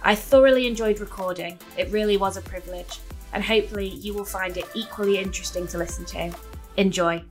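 A woman's voice: medium pitch, steady tone, fast, soft background music. A woman hosting a podcast, giving scripted introductions and putting questions to guests. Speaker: podcast host.

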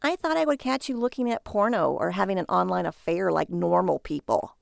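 A woman voicing an exasperated, sarcastic wife who scolds wearily with mocking, drawn-out emphasis. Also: fairly low pitch, expressive, gravelly, no room sound, studio quality. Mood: annoyed, let down.

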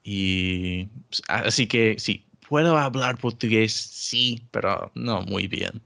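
A man's voice: fairly low pitch, slow pace, gravelly, pushed and bright. A man whose first language is English, speaking Spanish as a learner in a casual interview, hesitant with many restarts and fillers. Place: England.

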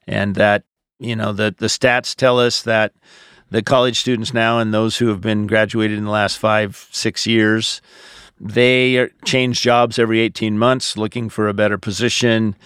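A man's voice: lowish voice, flat delivery, a little slow, fairly bright tone, smooth, no room sound, studio quality. A middle-aged or older man, a pastor, giving conversational advice on a podcast. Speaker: pastor answering listener questions.

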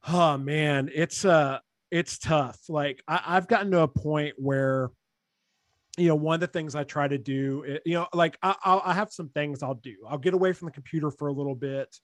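A young man with a fairly high voice hosts a podcast interview, easygoing and rambling, with lots of filler words. The recording is dry and close, with no room sound. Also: normal speed, dry recording. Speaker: podcast host. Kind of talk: casual interview chat.

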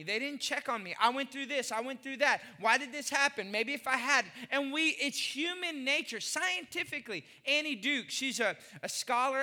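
A man's voice: very high voice, bright, forceful tone, long reverb tail. A man in his forties preaching a sermon, emphatic, repeating phrases and putting questions to the congregation. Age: 40s. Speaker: pastor.

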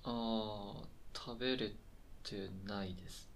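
A man's voice: on the low side, steady tone, takes it slowly, slight background noise. A man acting in a conversational radio drama as a depressed, self-conscious welfare applicant, hesitant, flustered and apologetic. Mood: awkward, apologetic.

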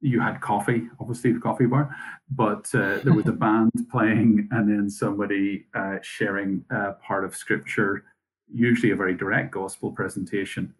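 A man from Northern Ireland with a Northern Irish accent, a guest telling his story in a measured, matter-of-fact way over a video call. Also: lowish voice, fairly even intonation, a little hiss or hum, rough recording. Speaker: mission worker, guest. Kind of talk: conversational interview answers.

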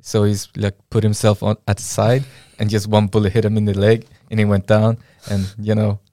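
A man hosting a casual podcast chat, telling jokey stories in an animated way. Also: on the low side, brisk, no echo, clean studio sound. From Chile. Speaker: podcast host.

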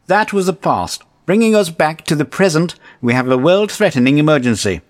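A man's voice: fairly high pitch, animated, normal speed, natural voice. A man playing an earnest, officious and exasperated agency chief in a comedic audio drama. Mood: urgent, exasperated. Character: exasperated, earnest male agency chief briefing misfit heroes.

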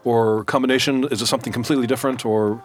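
Fast-talking male podcast co-host. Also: medium pitch, steady tone, faint music behind. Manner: quick, chatty questions. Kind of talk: casual interview chat. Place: podcast studio.

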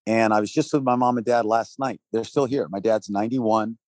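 A man's voice: lowish voice, steady tone, a little fast, a little mellow, dry recording, studio quality. A man in his sixties, raised in Thailand, telling his story in an earnest, conversational way, listing his points and chuckling between phrases. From Texas, raised in Thailand. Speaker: guest, relief organization leader. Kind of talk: conversational interview answers.

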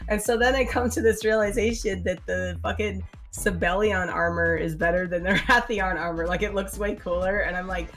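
A woman's voice: mid-range voice, steady tone, moderate pace, few pauses, fairly bright tone, faint music behind. A woman with a slightly scratchy voice from a sinus infection, chatting casually as a gaming podcast co-host, full of "like" and "um". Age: adult mother. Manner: chatty, fills with "like" and "um", swears freely. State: getting over a sinus infection, slight cough.

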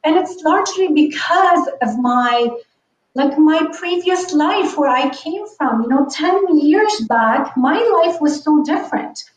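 A woman's voice: high-pitched, expressive, unhurried. A woman from Lebanon in her thirties or forties, a business coach telling her story in an earnest, reflective way. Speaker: guest, online business coach. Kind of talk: conversational podcast interview. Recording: echoey, distant, dull sound.

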